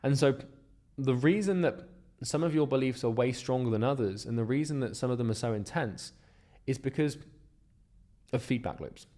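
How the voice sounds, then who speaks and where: Male instructor, mellow and dark tone, steady explanatory lesson delivery. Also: mid-range voice, normal speed. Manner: steady, explains step by step with some repeated words. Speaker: course instructor.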